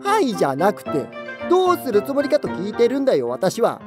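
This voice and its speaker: A man's voice: sits high, wildly swooping pitch, fairly quick, over music. An actor playing a fussy, condescending middle-aged section chief who scolds his staff. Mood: scolding.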